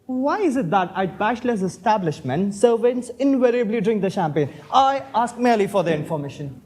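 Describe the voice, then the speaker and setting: High-pitched, airy young gentleman acted by a man. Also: animated, a little fast. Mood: lordly, flippant. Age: young adult. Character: idle, witty young Victorian gentleman, flippant and self-assured. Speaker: student actor in a stage play. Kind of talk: stage comedy of manners acting.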